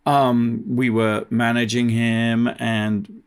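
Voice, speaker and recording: A man's voice: medium pitch, flat delivery, slow, smooth. A man talking casually as a guest in an interview. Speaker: podcast guest, TV production company co-founder.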